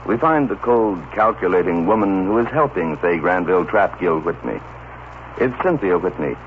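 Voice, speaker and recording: A male announcer with a low voice narrates a radio soap in a measured, dramatic style. The recording is old, scratchy and narrow.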